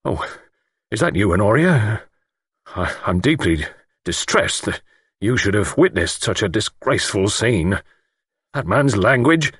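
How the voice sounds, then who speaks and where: A man's voice: on the low side, big pitch swings, measured pace, long pauses, fairly bright tone, breathy edge, no echo, studio quality, exaggerated voice. A man voicing a pompous, easily outraged older English lord in an audiobook, spluttering with indignation and self-importance. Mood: indignant.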